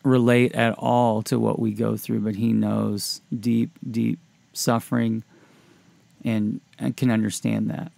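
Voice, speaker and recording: Gravelly man hosting, dry studio sound. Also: lowish voice, little pitch movement, takes it slowly, darkish tone, no room sound. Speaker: podcast host. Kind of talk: reflective conversational discussion.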